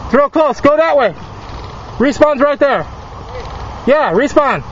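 A high-pitched man calls out quick, clipped directions to teammates on an open field. The sound is dull and lo-fi from a body camera, with steady outdoor noise and wind behind him. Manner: quick terse callouts, laughs often. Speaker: airsoft player filming. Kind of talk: casual game chatter.

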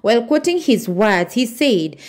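A woman's voice: on the high side, big pitch swings, measured pace, darkish tone. A woman narrating celebrity gossip as a channel host, reading posts aloud with frequent fillers and restarts. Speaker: entertainment news narrator.

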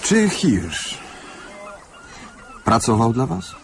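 An actor playing a junior police detective in a radio drama, asking brief, polite, probing questions. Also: male voice, medium pitch, wildly swooping pitch, extremely slow, vocal fry, background noise, heavily acted.

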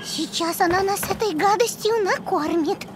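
A woman's voice: very high-pitched, moderate pace. A woman voicing a sulky, bossy little girl panda in a children's cartoon, with a pouty, childlike delivery. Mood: sulky, doubtful. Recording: music behind the voice.

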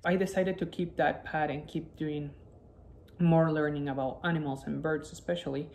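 A man's voice: fairly high pitch, fairly even intonation, moderate pace, vocal fry, darkish tone, slight background noise. A young man from Ecuador with an Ecuadorian accent, a native Spanish speaker, telling his personal story as a presentation. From Quito, Ecuador. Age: young adult. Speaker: guest, wildlife biologist. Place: indoor living space at home.

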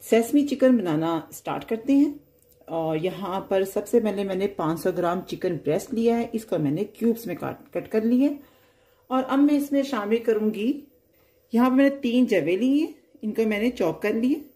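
Woman doing a recipe voiceover, steady instructions, warm mellow voice. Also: mid-range voice, moderate pace. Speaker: cooking channel host. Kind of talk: step-by-step recipe voice-over.

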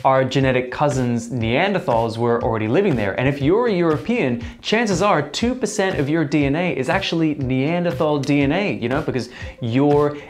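A man's voice: on the high side, expressive, normal speed, slight background noise. A young man presenting a scripted educational explainer to camera in a studio, conversational with playful asides to the viewer.

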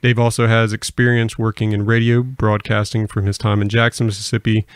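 A man's voice: fairly low pitch, little pitch movement, fairly quick, dry recording. Male podcast interviewer, conversational and rambling, level intonation, dry sound. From US Gulf Coast. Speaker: podcast host.

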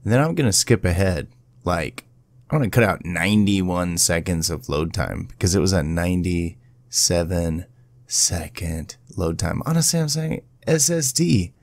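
A man presenting a casual step-by-step software tutorial in an informal, instructional way. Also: sits low, creaky, no echo.

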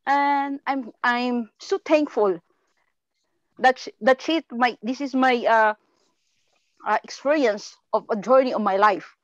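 A woman participant on a video call, speaking in a halting, emotional way. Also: fairly high pitch, dry recording. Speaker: discussion participant. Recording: slightly muffled dry video call audio.

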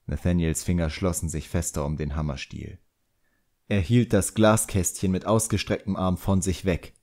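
Low-voiced man reading an audiobook. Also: steady tone, moderate pace, darkish tone. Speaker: audiobook narrator. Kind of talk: scripted narration of a fantasy novel.

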